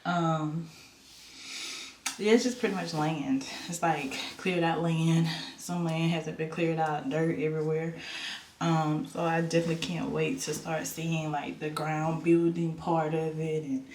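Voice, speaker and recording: A woman's voice: low voice, flat delivery, unhurried, a little mellow. Young woman vlogger, stuffy nasal voice, rambling casual delivery, echoey room, faint hiss. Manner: rambling, repeats herself, many ums. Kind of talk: casual vlog chat. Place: in her home. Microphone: camera on a stand.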